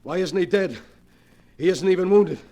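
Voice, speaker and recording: An actor playing a tough, menacing American gangster in a 1940s radio drama, talking in clipped, hard-boiled slang. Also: male voice, high-pitched, moderate pace, warm tone. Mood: demanding. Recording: vintage 1940s radio recording.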